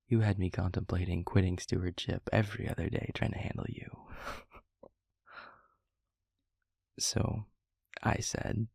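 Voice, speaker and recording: A man playing a gentle, reflective demon mentor in an intimate audio roleplay, speaking softly and haltingly in a low, breathy, gravelly voice. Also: no room sound, studio quality, full character voice. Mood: fond, amused. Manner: halting, intimate, soft-spoken with long pauses. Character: gentle reflective male demon steward, fondly confiding.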